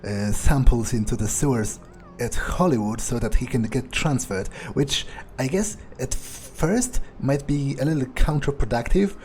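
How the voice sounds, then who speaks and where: A man playing a smug, cynical vampire in a game roleplay, thinking aloud in a halting, sardonic way. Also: medium pitch, a little mellow, a little hiss or hum, lightly acted. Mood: musing. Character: smug cynical male vampire, talking to himself. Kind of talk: in-character gameplay roleplay, improvised muttering. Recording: game music behind. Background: video game music.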